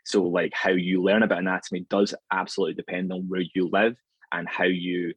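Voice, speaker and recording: A man in his thirties with a Scottish accent, answering interview questions in a relaxed, rambling way with frequent ums. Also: low-pitched, fairly quick, husky, no echo, lo-fi sound. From Scotland, near Glasgow. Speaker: anatomy lecturer, podcast guest.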